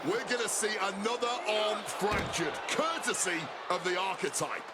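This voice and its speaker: An actor playing an excitable, indignant wrestling commentator in a video game, dramatic and exclamatory. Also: male voice, sits high, normal speed, natural voice. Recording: very loud arena background noise.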